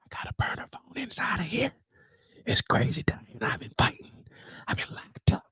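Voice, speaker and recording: A man's voice: very high-pitched, lively intonation, creaky, husky, no echo, studio quality, voice pushed up, full character voice. A man voicing a nervous jailed man who whispers frantically into a smuggled phone. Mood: hushed, panicky. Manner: hushed, rushed whisper.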